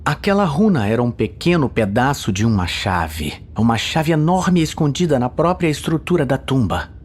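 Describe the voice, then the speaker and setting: A man's voice: medium pitch, big pitch swings, normal speed, a little mellow. A man with a Brazilian accent narrating a scripted fantasy audio story in a dramatic style. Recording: voice over a music soundtrack. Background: music and sound effects.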